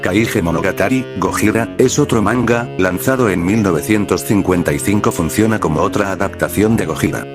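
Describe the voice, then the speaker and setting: Man, low-pitched voice, scripted video narration, faint music behind. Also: fairly quick, few pauses. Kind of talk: scripted narration of a retro film retrospective.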